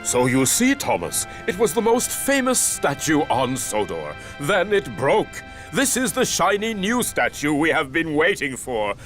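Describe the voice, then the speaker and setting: A man's voice: fairly high pitch, wildly swooping pitch, unhurried, a bit bright, soft background music, full character voice. A man voicing a pompous, stern middle-aged railway boss in a children's cartoon, formal and authoritative. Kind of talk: children's cartoon character acting.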